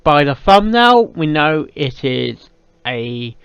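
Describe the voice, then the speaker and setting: A woman's voice: sits low, big pitch swings, slow, clean tone, no echo, muffled recording. A woman hosting a reaction video with casual commentary, speaking in short interjections and repeating phrases.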